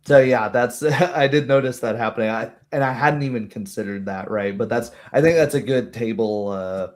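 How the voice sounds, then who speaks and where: A man's voice: mid-range voice, normal speed. A man hosting a casual, informal group chat after a tabletop game as the game master. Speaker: game master and stream host.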